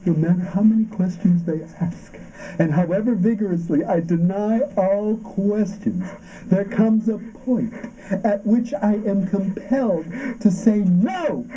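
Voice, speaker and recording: A man's voice: high-pitched, a little slow, warm tone. An actor playing a tormented existential man in an avant-garde stage drama, declaiming with odd stresses. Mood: anguished defiance. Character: tormented existential man questioning being and nothingness. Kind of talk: avant-garde theatrical performance of a radio play. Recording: dull, muffled stage recording with noise.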